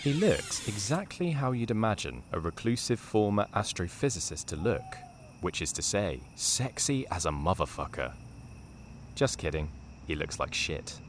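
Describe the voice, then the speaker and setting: An actor voicing a wry, deadpan storyteller, narrating a scripted dark comedy. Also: male voice, fairly low pitch, moderate pace, a little hiss or hum.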